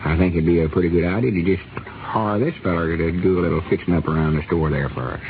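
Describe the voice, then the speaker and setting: A drawling storekeeper on old radio. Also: male voice, deep voice, fast. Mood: easygoing. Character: folksy small-town male storekeeper. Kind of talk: 1930s radio comedy acting. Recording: tinny, hissy 1930s radio broadcast.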